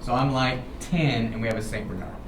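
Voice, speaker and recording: A man's voice: medium pitch, a little fast, far from the mic. American man telling stories, roomy noisy recording. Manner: animated, dramatic, repeats "okay" to check in. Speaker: storyteller, show host. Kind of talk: informal personal storytelling to listeners.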